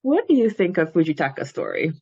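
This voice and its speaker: A woman's voice: fairly low pitch, big pitch swings, fast talker, a little mellow, breathy edge, dry recording, lo-fi sound. A woman podcast co-host chatting casually and animatedly about a book, often acting out imagined dialogue. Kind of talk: casual book-discussion chat.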